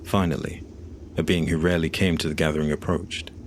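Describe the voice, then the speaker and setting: Man, extremely low voice, solemn measured fantasy narrator, faint noise and ambience. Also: little pitch movement. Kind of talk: scripted fantasy audio drama narration.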